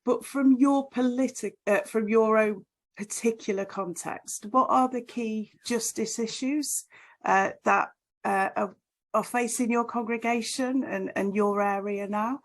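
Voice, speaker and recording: A woman's voice: on the high side, fairly even intonation, measured pace. A woman in her thirties hosting a podcast interview over a video call from a study at home, asking questions and affirming her guest. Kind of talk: conversational interview. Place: home study with bookshelves, indoors.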